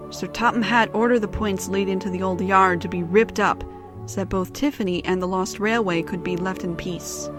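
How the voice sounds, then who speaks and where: Woman narrating a story over soft music. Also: mid-range voice.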